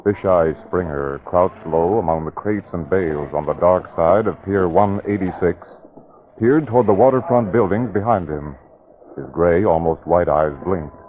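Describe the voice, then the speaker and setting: A man narrating and announcing in dramatic 1940s radio style, booming and theatrical. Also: moderate pace. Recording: tinny noisy old radio broadcast.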